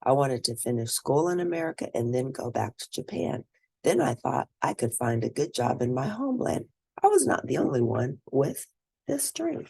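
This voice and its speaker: A woman reading a scripted first-person story aloud, expressive and deliberate, with a husky, gravelly voice. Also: very deep voice, no room sound. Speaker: read-aloud narrator.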